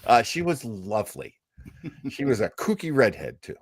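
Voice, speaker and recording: A man's voice: lowish voice, huge pitch swings, moderate pace, no room sound. A man hosting a show, chatting casually and introducing old radio programs with jokey asides. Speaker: host.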